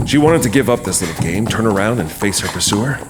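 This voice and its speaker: A man's voice: fairly low pitch, lively intonation, quick pace. A man delivering dramatic scripted narration for a horror story as its narrator. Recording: clear background noise, night sound effects.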